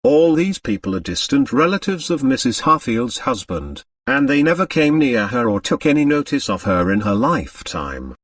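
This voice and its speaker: A man voicing a quiet, serene woman in her thirties for a storybook, calm, measured and tolerant. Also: mid-range voice, recorded from a distance. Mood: calm, unruffled.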